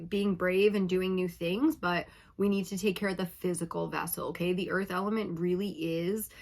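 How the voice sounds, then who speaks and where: A woman reading tarot for her viewers in a casual, chatty way, her voice slightly raspy from hours of talking, often checking in with "okay?". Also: lowish voice. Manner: chatty, laughing, uses filler like "okay?". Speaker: tarot reader.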